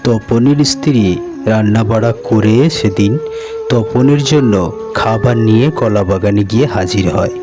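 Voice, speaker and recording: A man's voice: fairly low pitch, measured pace, over music. A man narrating a scripted cartoon story as its storyteller.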